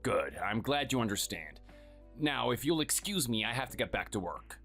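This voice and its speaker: A performer voicing a cold, contemptuous workaholic husband who talks curtly and dismissively. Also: male voice, medium pitch, big pitch swings, normal speed, vocal fry, fairly bright tone, faint music behind, full character voice. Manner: curt, scornful, dismissive.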